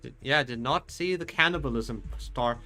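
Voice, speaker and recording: A man giving casual, halting and sarcastic live commentary as he streams a video game. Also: mid-range voice, bright, forceful tone. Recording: faint game music behind.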